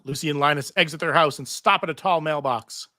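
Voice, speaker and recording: A man's voice: a little high, brisk, pushed and bright, no echo, studio quality. A man reading stage directions as narrator at a live scripted table read.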